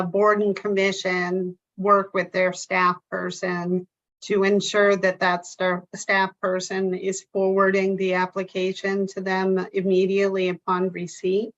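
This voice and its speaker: A woman on the town staff explaining a procedure at a remote public meeting in a measured way, with some fillers. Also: medium pitch, a bit bright. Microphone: video call. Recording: dull lo-fi video call audio.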